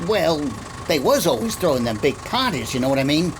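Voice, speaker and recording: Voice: medium pitch, very animated, fairly quick, close to an everyday voice. An actor playing a folksy, eager small-town gossip with ungrammatical rustic speech in a radio detective drama. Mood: gossipy, insistent. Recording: vehicle engine noise behind the voice.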